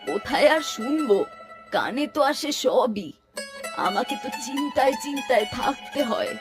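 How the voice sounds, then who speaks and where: A woman's voice: high voice, lively intonation, normal speed. A woman playing a worried middle-aged mother in a cartoon, reproaching and pleading with her grown son. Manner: nagging, pleading. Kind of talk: Bengali moral-story cartoon voice acting. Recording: plucked string music behind.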